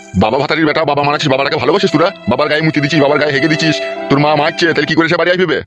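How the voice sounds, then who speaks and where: A man's voice: fairly high pitch, steady tone, racing, loud music behind the voice, close to an everyday voice. A man playing a crude, scolding older village father-in-law in a comic cartoon. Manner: blunt, cursing, argumentative.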